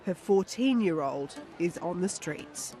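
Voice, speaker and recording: A woman reporter, reading scripted news narration in a measured, serious way. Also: fairly low pitch, animated, warm tone, soft background music.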